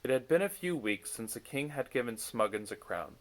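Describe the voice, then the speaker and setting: A man reading a bedtime story aloud in an expressive storytelling style. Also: medium pitch, a little fast. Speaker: story reader.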